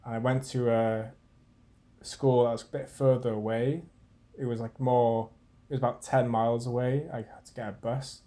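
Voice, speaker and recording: A young man from Wales, a podcast guest, explaining things in a relaxed, casual chat. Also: mid-range voice, moderate pace.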